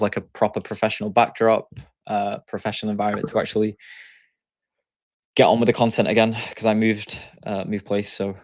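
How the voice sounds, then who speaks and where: A man's voice: on the low side, no room sound. A man with a Yorkshire accent, hosting a live trading stream and thinking aloud as he explains charts. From Yorkshire, England. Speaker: trading coach and stream host.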